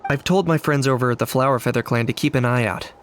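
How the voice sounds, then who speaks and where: A man voicing a calm, helpful young tribesman in a video game, matter-of-fact. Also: medium pitch, fast talker, natural voice.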